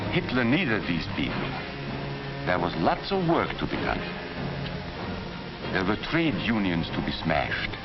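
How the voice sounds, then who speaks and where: An earnest émigré professor with a low, expressive voice. He lectures deliberately, as in a 1940s educational film, over music on a dull old soundtrack. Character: Hungarian-born former professor warning against Nazi hatred. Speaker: narrator recounting his past.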